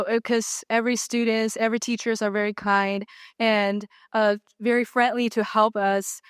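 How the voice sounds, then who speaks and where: A woman's voice: mid-range voice, clear, resonant tone, no echo, clean studio sound. A teenage girl with a Chinese accent, an international student giving earnest answers with some fillers. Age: teens.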